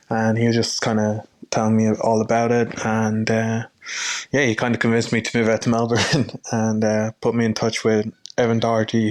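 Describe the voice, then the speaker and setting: A young man who grew up in Ireland, a chef talking casually and modestly in an interview, with frequent fillers. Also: medium pitch. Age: young adult. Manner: relaxed, modest, lots of "uh" and "kinda". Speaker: chef, guest.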